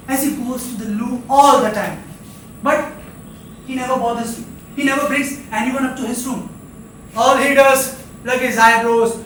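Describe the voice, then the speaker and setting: A stage actor playing a lonely, restless rooming-house drifter, rambling theatrically and dwelling on words in halting repetitions. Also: mid-range voice, a bit bright, a little hiss or hum, lightly acted. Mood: wry, amused. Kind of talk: live stage play monologue. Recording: echoey theatre captured from far off, faint music.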